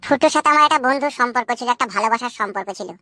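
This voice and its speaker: A man's voice: extremely high pitch, very fast, pushed and bright, no echo, faint music behind, full character voice. A man voicing a polite, aggrieved young village man in a comedy cartoon. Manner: deferential, explanatory.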